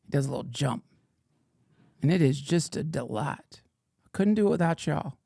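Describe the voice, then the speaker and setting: Middle-aged male host, gravelly, raised pitch, mock-indignant comic riffing, dry sound. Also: expressive, moderate pace, no echo. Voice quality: playfully mock-indignant, swings to whispers and shouts. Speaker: podcast host reading chat questions. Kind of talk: comedic livestream banter in a mock persona.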